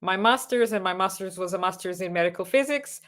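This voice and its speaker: A Portuguese-accented woman in her thirties presents a slide talk to would-be surgeons. Her voice sits at an ordinary middle pitch. She speaks earnestly but haltingly, with frequent ums and repeated words. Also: fairly bright tone. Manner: hesitant, many fillers and restarts, earnest. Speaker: neurosurgery registrar and clinical lecturer.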